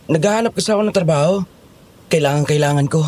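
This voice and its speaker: A man's voice: fairly high pitch, fast, darkish tone, natural voice. An actor playing a dutiful, polite and earnest male monster hunter in a horror audio drama. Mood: humble, eager.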